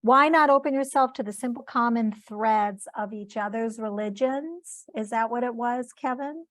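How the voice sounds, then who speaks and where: Higher-voiced woman in casual group discussion. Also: moderate pace, no echo. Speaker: group participant. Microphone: online group call.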